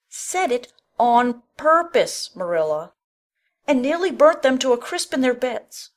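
A woman playing an opinionated, gossipy older village busybody in a dramatic audiobook, with a blunt, scolding, folksy delivery. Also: on the high side.

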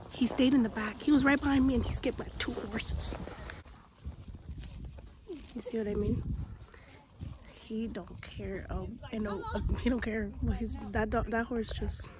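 A young woman with a high, bright-sounding voice chats breathlessly into her phone as she films herself. She is outdoors at a farm, and the recording carries a lot of background noise. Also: unhurried, pauses often. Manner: excitable, gushing, talks to animals. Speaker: vlogger. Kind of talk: casual vlog chat to camera. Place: outdoors at a farm petting zoo. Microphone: phone at arm's length.

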